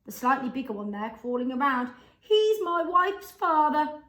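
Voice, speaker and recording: A woman's voice: sits high, big pitch swings, normal speed, a bit bright, voice pushed up. A woman voicing a cheerful, amused father in a storybook reading. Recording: echoey room.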